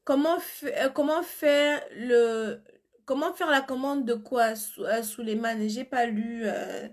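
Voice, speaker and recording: A woman's voice: on the high side, slow, a little mellow. A woman with a cold and flu chatting on a live stream from her phone, answering viewers' comments and turning blunt when she insists on something. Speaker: beauty seller on a live stream. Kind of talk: casual live stream chat.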